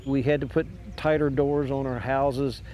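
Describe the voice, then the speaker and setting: An older man who runs a farm, interviewed outside, speaking plainly and haltingly with "uh" and "you know". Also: mid-range voice, flat delivery, warm tone, dull audio. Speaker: farmer. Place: outdoors by a farm building.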